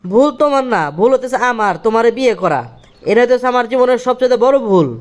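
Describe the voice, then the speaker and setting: Actor as fast-talking, irritable cheating husband. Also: male voice, very high-pitched, smooth. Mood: harsh, angry. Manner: scolding, curt. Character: irritable, scornful, two-timing husband and office boss. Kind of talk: cartoon drama voice acting.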